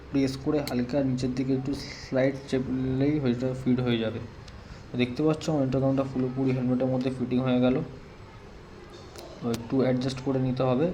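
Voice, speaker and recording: A man's voice: mid-range voice, warm tone, slight background noise, rough recording. A man giving a casual hands-on product demonstration as a vlogger, explaining plainly step by step. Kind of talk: casual hands-on tutorial narration.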